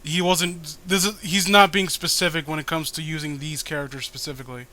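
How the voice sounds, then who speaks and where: A man's voice: fairly high pitch, fairly even intonation, brisk, heavy background noise. A man co-hosting a casual comic read-along, rambling and exasperated, with frequent swearing. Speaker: co-host reading a webcomic. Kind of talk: casual comic riffing and commentary.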